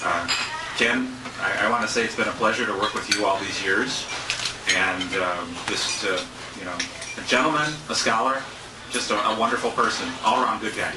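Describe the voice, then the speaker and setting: A man giving an impromptu, sincere and halting tribute in a restaurant banquet room, recorded from a distance on a handheld camera. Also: lowish voice. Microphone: handheld compact camera at a distance. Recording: noisy echoey room, distant camera mic. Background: loud clatter of dishes and cutlery.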